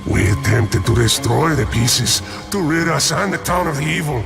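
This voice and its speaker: A grave cartoon figure, delivering dire words with urgency. His pitch swings dramatically and has a gravelly creak. Loud music swells behind him. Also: lively intonation, normal speed. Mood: grave warning. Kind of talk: dramatic animated series acting.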